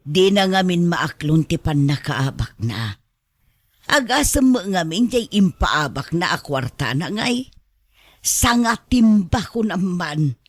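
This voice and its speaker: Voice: mid-range voice, sing-song, takes it slowly, no echo. An actor with an Ilocano accent playing an exasperated but caring spouse in a radio drama, scolding and coaxing. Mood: complaining.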